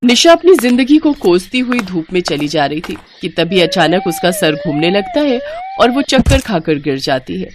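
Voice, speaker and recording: A woman's voice: lowish voice, sing-song, normal speed, background noise. A woman narrating a scripted moral story as a storyteller.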